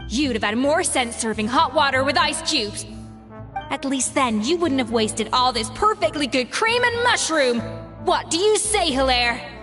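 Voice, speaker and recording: A woman's voice: sits high, expressive, a little slow, over music. An actress voicing a strict female head chef who scolds with biting sarcasm, in video game dialogue. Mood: scolding.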